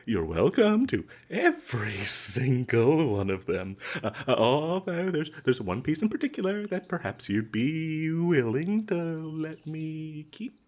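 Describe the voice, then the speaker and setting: A man acts out a captured fantasy adventurer trying to talk his way free in a comedic audio roleplay. He has a mid-pitched male voice that swings widely in tone. His delivery is slow and halting, full of stammers, evasive flattery and an ingratiating, nervous edge. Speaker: voice actor.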